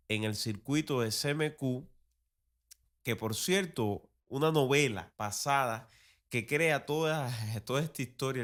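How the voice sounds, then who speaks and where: Male podcast host, Cuban accent, mid-pitched voice, unhurried and emphatic, explaining cultural history like a teacher. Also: a bit bright. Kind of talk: explanatory talk on radio and TV history.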